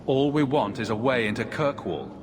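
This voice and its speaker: An actor playing a measured, direct young refugee mage, the hero of a fantasy video game. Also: male voice, mid-range voice, lively intonation, background noise, close to an everyday voice. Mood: firm, calm. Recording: loud music behind. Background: game music.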